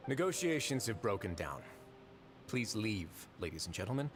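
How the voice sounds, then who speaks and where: An actor playing a calculating, unhurried fortress warden in a video game, speaking with polite, measured, sardonic menace. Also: male voice, lowish voice, big pitch swings, over music. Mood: stern, final.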